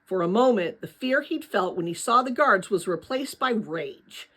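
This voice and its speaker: Expressive, husky woman reading a children's novel. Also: medium pitch, moderate pace. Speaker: audiobook-style reader.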